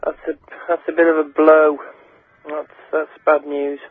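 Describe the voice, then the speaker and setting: A man's voice: on the high side, little pitch movement, unhurried, slight background noise. A young man chatting casually and hesitantly as a listener on a phone call. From England. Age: young adult. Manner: hesitant, repeats words and adds "like" and "you know". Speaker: radio listener giving his reaction by phone. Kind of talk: casual chat. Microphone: telephone. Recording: tinny, dry phone line.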